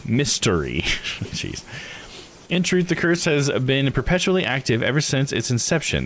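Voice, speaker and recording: A man's voice: medium pitch, slight background noise. A man live-streaming a game, reading its text aloud and commenting in a laid-back, informal way. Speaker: live-streamer playing a visual novel.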